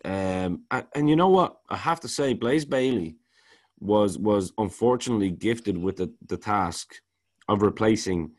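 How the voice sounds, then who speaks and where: Rambling low-voiced man. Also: lively intonation, a little slow, darkish tone. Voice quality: baritone. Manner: rambling, repeats words while searching for them. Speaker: podcast co-host. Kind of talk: casual music review chat.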